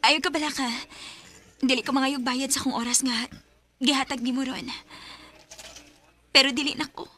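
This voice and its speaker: A hesitant young bar hostess voiced by a woman with a high, bright voice. She speaks softly and haltingly, unsure of herself, in an amateur radio drama. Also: fairly even intonation. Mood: pleading.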